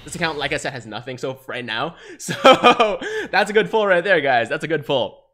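A young man from China, a native Mandarin speaker, excitedly streaming live gaming commentary on a headset and reading chat aloud. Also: fairly high pitch, normal speed. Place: small room, seated in gaming chair.

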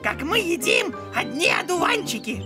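A woman's voice: extremely high pitch, sing-song, normal speed, music in the background. A woman voicing a childish, greedy, eager male caterpillar in a children's cartoon. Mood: proud.

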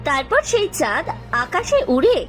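A woman's voice: very high voice, very animated, moderate pace. A woman reading scripted narration for a cartoon story. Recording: music under the voice.

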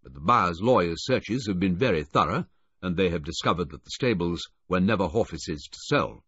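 A man voicing an older military brigadier who speaks in clipped, formal pronouncements, higher than his own voice. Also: lively intonation, normal speed, a bit bright, slightly rough, no room sound, heavily acted. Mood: stern. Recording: dull lo-fi, dry close audio.

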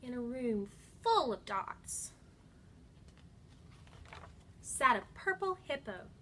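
A woman with a high voice hosts storytime for little kids. She takes her time and speaks encouragingly, as to small children. There is noticeable noise in the room recording. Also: sing-song, pushed and bright. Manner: slow, encouraging, child-directed. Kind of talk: singing songs and reading aloud to young children. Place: indoor room by large windows.

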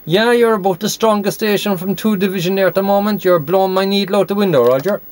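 A high-voiced man with an Irish accent talks over CB radio. He repeats himself and spells things out in radio phonetics. There is some hiss and noise on the recording. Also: fast. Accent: Irish, from Cork. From Ireland. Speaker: CB radio operator. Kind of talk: radio contact chat. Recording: noisy CB radio shack recording.

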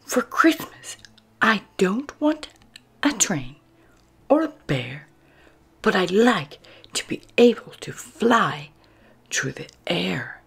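A woman voicing an eager young penguin boy who longs to fly, in an expressive children's storybook read-aloud. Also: mid-range voice, slow, vocal fry, pauses often, slightly rough, pitched above the performer's own voice, heavily acted. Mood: wistful, hopeful.

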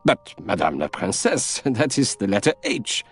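An excitable Continental gentleman, acted out by a male narrator in an audiobook. He is sure of himself and emphatic, pitched high with big swings. His phrases come out as exclamations. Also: normal speed, creaky, husky, faint music behind, exaggerated voice. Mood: puzzled, polite. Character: excitable, self-assured Continental gentleman, easily flustered.

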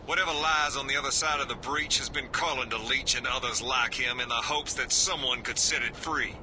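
An actor playing a grave, guarded soldier-priest in a video game, explaining in a solemn, earnest way. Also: male voice, mid-range voice, brisk, noisy background, lightly acted. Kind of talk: video game cutscene acting.

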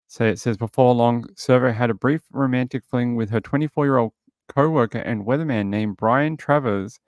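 A man's voice: mid-range voice, moderate pace, a little mellow, dry recording, clean studio sound. A middle-aged man hosting a casual livestream, greeting viewers by name and recapping a true crime episode. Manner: chatty, greets viewers, recaps and reads articles aloud. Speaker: livestream host reviewing a true crime show.

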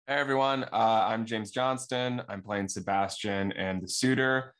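A man's voice: on the low side, steady tone, a little slow, a bit bright, clean tone. A young man casually introducing himself as a guest on an online panel.

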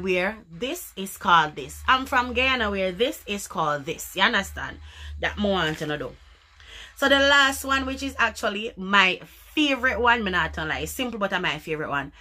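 A woman from Jamaica with a Jamaican accent, chatting casually to the camera in an animated, emphatic way and slipping into patois. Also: mid-range voice. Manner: animated, emphatic, repeats words for effect, slips into patois. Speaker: vlogger. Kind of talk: casual chat to camera, explaining dialect words. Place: small furnished room indoors.

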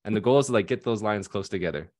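A man's voice: lowish voice, lively intonation, very fast, darkish tone. A man in his thirties, a financial advisor on a video call panel, talking casually with many fillers and restarts. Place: home room at night. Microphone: headset on video call.

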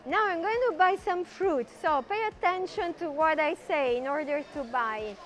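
A woman's voice: high-pitched, normal speed, over music. A middle-aged woman with an Italian accent, a native Italian speaker teaching informally on location and repeating key words with translations. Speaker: language and culture teacher. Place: food market stalls and deli shop.

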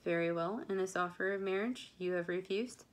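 A woman reads as a dry, middle-aged gentleman father in a period novel. He speaks with measured formality and calm sardonic wit. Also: lowish voice, natural voice. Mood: stern, wry.

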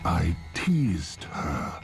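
An actor playing a murderous, obsessive clown killer who gloats in slow, menacing narration. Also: male voice, huge pitch swings, exaggerated voice. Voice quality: low gravelly drawl. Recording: loud music score behind.

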